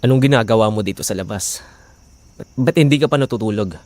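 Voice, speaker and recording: A man's voice: on the low side, a little fast, darkish tone, close to an everyday voice. A man acting as a struggling, steadfast husband and father, earnest and imploring, in an audio drama. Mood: concerned, reasoning. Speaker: voice actor.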